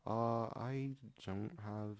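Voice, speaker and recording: A man's voice: fairly low pitch, very slow, clear, resonant tone. A man co-hosting a casual podcast about music, speaking in a hesitant, stammering way with dry delivery. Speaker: podcast co-host.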